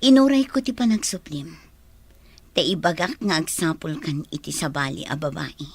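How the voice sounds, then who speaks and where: A woman's voice: low-pitched, normal speed, slightly rough, no echo. An actress playing a firm young woman who is engaged, in an Ilocano radio drama. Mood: firm, rejecting.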